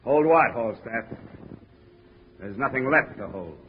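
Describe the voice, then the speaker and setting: A man's voice: medium pitch, animated, measured pace, close to an everyday voice. A man acting a tough, wisecracking investigator in a 1950s radio detective drama, with an American accent and a clipped, needling manner. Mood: taunting. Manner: hard-boiled, needling, clipped. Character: tough, wisecracking male insurance investigator narrating his case. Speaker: lead actor and narrator. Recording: muffled, noisy 1950s radio recording.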